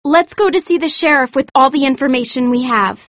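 A woman voicing an eager, excitable young female amateur sleuth in an audiobook story, speaking clearly and emphatically. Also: high voice, brisk, no echo, pitched above the performer's own voice. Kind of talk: acted dialogue in audiobook story.

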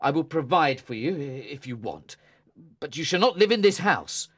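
Guy voicing a harsh cold young brother, bitter and accusing, pitch swinging up and down a lot. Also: very animated, normal speed, a bit bright, breathy edge, exaggerated voice. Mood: bitter, angry. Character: stern young man, bitter and unforgiving brother. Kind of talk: audiobook drama reading.

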